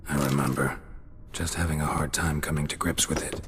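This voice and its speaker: A really deep stoic game monster hunter, gruff n terse, long reverb. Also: male voice, fairly even intonation, fairly quick, noisy background. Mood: subdued. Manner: terse, understated. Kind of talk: video game voice acting.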